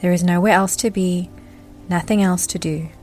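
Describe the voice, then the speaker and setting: A woman narrating a guided meditation as the guide, speaking in a gentle, measured way with deliberate pauses. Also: fairly low pitch, steady tone, faint music behind.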